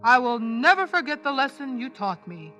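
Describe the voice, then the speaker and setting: A woman voicing a big, proud male lion in a children's storybook, slow and booming. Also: sing-song, bright, forceful tone, soft background music, heavily acted. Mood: grateful.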